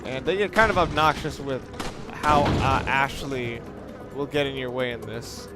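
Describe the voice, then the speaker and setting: A man's voice: mid-range voice, a little slow. A man giving casual, hesitant video game commentary with wry, self-deprecating asides. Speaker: video game let's player. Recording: game music and gunfire behind. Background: game music, gunfire.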